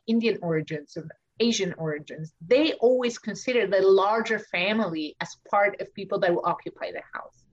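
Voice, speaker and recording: Argentine woman architect, halting and chatty. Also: medium pitch, husky, dull audio. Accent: Argentine Spanish. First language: Spanish. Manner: thinks aloud with many fillers and restarts. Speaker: architect and author. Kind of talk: podcast interview. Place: home studio in Washington DC.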